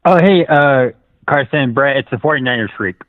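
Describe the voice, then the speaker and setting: A man's voice: mid-range voice, normal speed, dry recording. Casual male caller on a narrow phone line. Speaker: regular caller to the show. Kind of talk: casual phone call-in chat.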